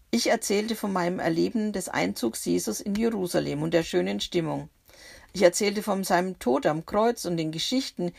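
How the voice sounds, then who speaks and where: A woman narrating a bible story for children in the first person, gentle and reflective. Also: lowish voice, creaky, husky.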